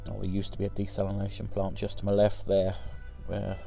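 A man's voice: deep voice, completely flat delivery, fairly quick, creaky, mellow, soft background music. A man in his forties giving a casual, rambling voice-over for his driving footage, with many ums and restarts. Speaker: vlogger.

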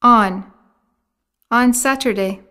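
A woman's voice: fairly high pitch, takes it slowly, sharp tone, clear, resonant tone. A woman narrating a language course, reading scripted words and sentences with careful enunciation. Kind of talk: scripted lesson reading, word drills.

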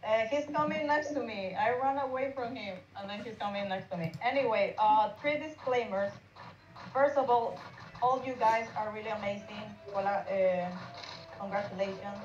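A woman who is not a native English speaker, giving a casual, halting, slightly apologetic explanation as a game artist. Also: medium pitch. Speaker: game artist presenting team project. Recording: roomy, dull online call with music.

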